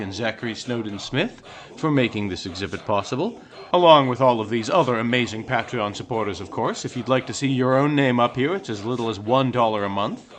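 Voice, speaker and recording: A man's voice: medium pitch, fairly bright tone. A man speaking as a show host, delivering a scripted sign-off directly to his listeners.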